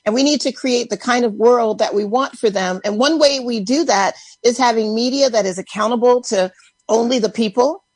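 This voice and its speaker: A woman making an unscripted, earnest and measured fundraising appeal on live radio. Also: on the high side, darkish tone, husky, dry recording. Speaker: radio pledge-drive speaker.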